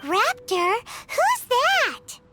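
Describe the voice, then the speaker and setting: Very high, perky young scout. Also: female voice, wildly swooping pitch, very slow, music in the background, full character voice. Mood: eager, surprised. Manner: peppy, encouraging, exclaiming. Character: perky, eager young female knight scout and gliding champion. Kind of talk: video game voice acting.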